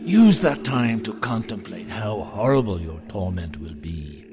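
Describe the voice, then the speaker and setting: An ancient, evil piper speaks slowly over prominent music. He is vengeful and obsessive, drawing out his words with theatrical menace in audio drama fashion. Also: fairly low pitch, big pitch swings. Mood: menacing. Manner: theatrical menace, drawn-out threats. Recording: music under the voice.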